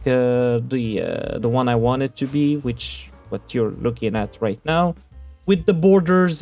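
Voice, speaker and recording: A young man who is sick and whose voice keeps cracking, narrating his Minecraft build in casual commentary, halting with lots of fillers and self-corrections. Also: a little high, darkish tone. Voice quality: cracky, rough voice.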